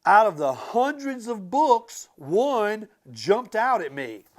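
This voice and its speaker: A man reads a children's book aloud in a slightly high, animated voice. His delivery is expressive and emphatic, and he steps out of the story to chat casually with the children listening. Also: slow pace. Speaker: school transportation director reading aloud to students.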